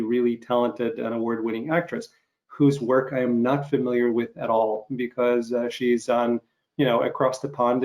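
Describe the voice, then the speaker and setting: A middle-aged man from Ukraine hosts a casual interview over a video call. He talks at an ordinary pitch for a man, in a halting, rambling way that keeps looping back on itself. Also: muffled recording. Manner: halting, rambling, frequent restarts. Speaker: interview host.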